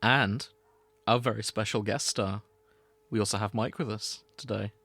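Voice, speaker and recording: A man's voice: lowish voice, steady tone, moderate pace, a bit bright, dry recording. A man hosting a podcast in a casual, chatty style. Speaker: podcast host.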